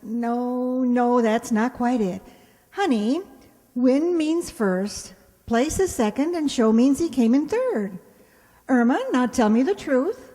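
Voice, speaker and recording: Woman playing the sane roommate in a radio comedy, high voice, dry n patient, echoey hall sound. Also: dark tone. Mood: friendly explaining. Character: sensible, patient, wry female roommate. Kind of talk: radio sitcom acting. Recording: echoey hall, long reverb.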